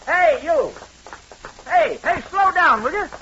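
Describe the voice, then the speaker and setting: A fussy, scolding factory worker in a 1940s radio play. His voice is sharp and animated, with a folksy, excitable delivery. The vintage recording is dull, with faint noise. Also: very high voice, takes it slowly, full character voice. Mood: nagging, irritated. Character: gruff, fussy, nagging working-class male plant employee.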